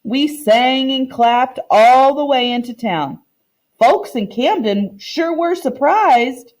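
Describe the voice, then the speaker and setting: Woman reading as proud older woman storyteller, unhurried. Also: sits high, animated, a little mellow, voice pushed up. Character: proud, earnest older Southern woman recounting her community's history.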